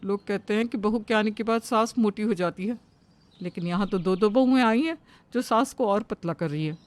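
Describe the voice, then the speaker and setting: A woman's voice: medium pitch, normal speed, lightly acted. A woman voicing a familiar neighbour auntie who admonishes young brides in a cartoon story. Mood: admonishing.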